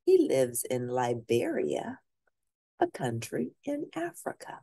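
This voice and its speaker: Slow deep-voiced woman reading aloud. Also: wildly swooping pitch, dark tone, no room sound. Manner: slow, deliberate read-aloud pacing with questions to listeners. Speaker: teacher. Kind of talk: reading a picture book aloud.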